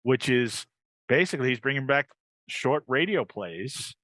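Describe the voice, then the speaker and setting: Male podcast host, medium pitch, loose rambling delivery with repeated phrases, dry recording over a video call. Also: expressive, unhurried, a bit bright, dry recording. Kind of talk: casual podcast chat with live ad reads.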